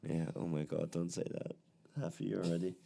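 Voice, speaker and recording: Hoarse young man with a gravelly voice. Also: low voice, fairly even intonation, fairly quick, mellow. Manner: chatty, frequent fillers and laughs. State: hoarse from a cold. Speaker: podcast co-host. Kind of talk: casual chat.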